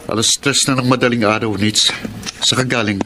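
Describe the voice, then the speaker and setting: A man's voice: mid-range voice, fairly even intonation, moderate pace, background noise, natural voice. A man playing a weary, stern security guard husband in a Filipino radio drama. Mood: stern, suspicious. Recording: loud music bed behind voice.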